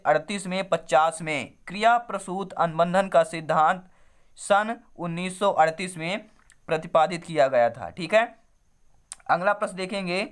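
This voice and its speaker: A male coaching teacher with a high voice, hoarse from a cold. He reads out exam questions and their options, then repeats each answer, addressing his students familiarly. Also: fairly bright tone. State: sore, hoarse throat from a cold. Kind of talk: quiz-style online lesson, reading questions and options, then explaining answers.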